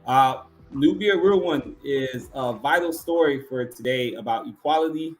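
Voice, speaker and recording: A man's voice: medium pitch, a little slow. A man hosting an awards ceremony, announcing categories and finalists and reading out remarks.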